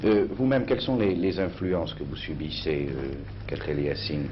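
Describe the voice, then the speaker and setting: A man's voice: rumbling low, expressive, moderate pace. A man interviewing a writer, asking formal questions and giving brief affirmations. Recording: dull archival interview recording with faint hiss.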